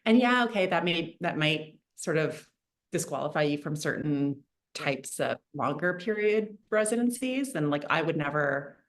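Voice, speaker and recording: A young woman from Canada speaks as an interview guest, her voice sitting low. She talks in a loose, chatty way, full of fillers and restarts. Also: expressive, moderate pace. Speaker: guest artist. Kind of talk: casual interview.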